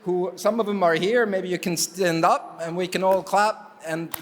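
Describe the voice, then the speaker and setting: A man from Canada chairing an award jury, giving a relaxed, wry ceremony speech on a stage microphone, halting often on fillers. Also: high-pitched. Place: large conference hall. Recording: long hall reverb.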